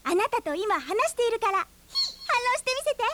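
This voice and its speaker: A woman's voice: very high-pitched, wildly swooping pitch, noisy background, exaggerated voice. An actress playing a spirited, stubborn young woman in a radio drama, arguing her case insistently. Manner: quick-witted, debating, insistent. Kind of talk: 1990s radio drama acting.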